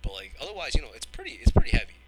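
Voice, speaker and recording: A man's voice: mid-range voice, wildly swooping pitch, rapid-fire, noisy background. A young man hosting a podcast, chatting casually with lots of fillers and jokes. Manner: rambling, many fillers, joking. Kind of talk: casual chat.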